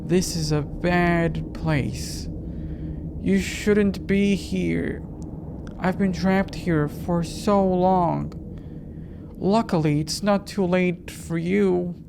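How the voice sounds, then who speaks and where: A performer voicing a ghostly young lost sister in a horror game, with a weak, frail voice and slow, drawn-out pleading. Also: mid-range voice, fairly even intonation, creaky, noisy background.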